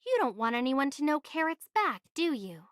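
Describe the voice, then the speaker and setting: A woman's voice: high-pitched, very animated, normal speed, no echo, clean studio sound. A woman voicing a level-headed, assertive young envoy in an anime game, speaking in an earnest, articulate way. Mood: doubtful, challenging. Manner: earnest, articulate, matter-of-fact.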